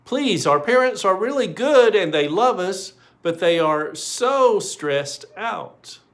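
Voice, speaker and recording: A man's voice: high-pitched, expressive, slow, fairly bright tone, voice pushed up, full character voice. A man voicing eager, pleading small-town children in a storybook.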